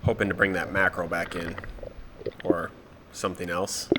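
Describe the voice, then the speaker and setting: A man with a cold and a stuffed-up nose, narrating his spearfishing dive footage in a relaxed, matter-of-fact way. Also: deep voice, moderate pace, heavy background noise. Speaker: spearfishing vlogger.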